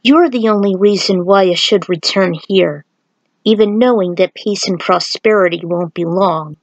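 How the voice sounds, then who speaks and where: A woman's voice: mid-range voice, moderate pace, dry recording, lo-fi sound, close to an everyday voice. A woman voicing a devoted, troubled warrior monk uncle in a solemn, earnest way for a story. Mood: fond, earnest.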